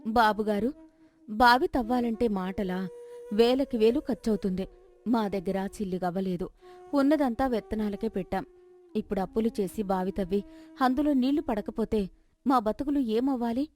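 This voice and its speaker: A woman's voice: mid-range voice, moderate pace, a little mellow, close to an everyday voice. A woman playing a worried, sensible farmer's wife in an acted folk tale, pleading and cautioning. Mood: worried, protesting. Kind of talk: dramatized folk moral story acting.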